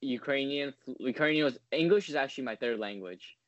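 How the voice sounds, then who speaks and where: A man's voice: medium pitch, fairly quick, dry recording, dull audio. A man in his twenties, a guest in a casual interview, talking in a rambling way full of ums and likes. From United States. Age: 20s.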